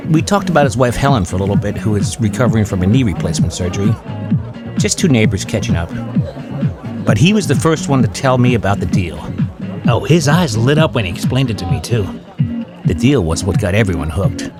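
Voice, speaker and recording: An ordinary small-town family man, played by an actor, wistfully recounting eerie memories. His voice is on the low side, with folksy, measured storytelling over clearly audible music in a horror audio drama. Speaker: first-person narrator.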